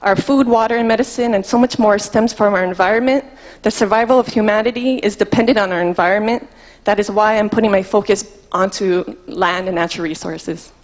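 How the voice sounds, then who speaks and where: A woman from Hawaii reading a prepared research pitch from notes into a handheld mic, in a measured way. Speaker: student presenter. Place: large room indoors. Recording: long hall reverb.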